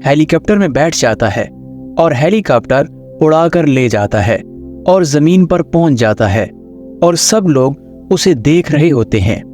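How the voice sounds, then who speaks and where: A man narrates a children's story in a slightly high voice. The reading is scripted storytelling, with faint music in the background. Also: lively intonation, unhurried, a little mellow.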